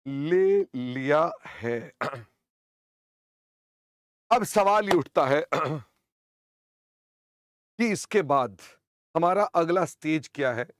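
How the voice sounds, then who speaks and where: An older man teaching accounting in an online class, hoarse, chatty and teasing, often clearing his throat. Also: fairly high pitch, no room sound, clean studio sound.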